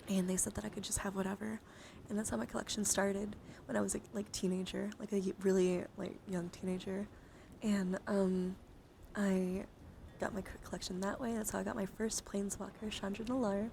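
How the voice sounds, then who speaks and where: Woman doing asmr stream chat, soft n whispery, lots of vocal fry, noisy background. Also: medium pitch, little pitch movement. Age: adult, over 18. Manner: hushed, often whispering, slow deliberate enunciation. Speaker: streamer host. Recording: noticeable background noise.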